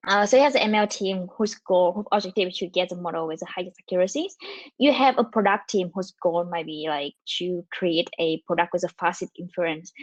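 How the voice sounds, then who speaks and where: Fast-talking Vietnamese-accented young woman. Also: on the low side, no echo, poor audio. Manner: fast-talking with frequent fillers and restarts. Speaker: conference speaker. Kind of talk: online technical talk with slides.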